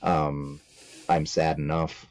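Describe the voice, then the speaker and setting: Deep-voiced man, blunt dark humor. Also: little pitch movement, slow pace, no echo. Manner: blunt, swears, dark jokes. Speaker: podcast co-host. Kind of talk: casual film review chat.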